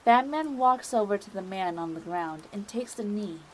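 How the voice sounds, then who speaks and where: A woman's voice: medium pitch, lively intonation, moderate pace, slight background noise, poor audio. A woman reading scripted narration for a dramatic audio story.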